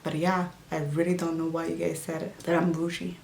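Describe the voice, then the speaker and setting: A woman's voice: low-pitched, little pitch movement, normal speed, gravelly, a little mellow. Easygoing woman in an echoey room. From Djibouti. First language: not English. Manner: easygoing, chatty, many fillers and restarts. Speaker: health and nutrition vlogger. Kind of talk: casual Q&A vlog. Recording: roomy echo.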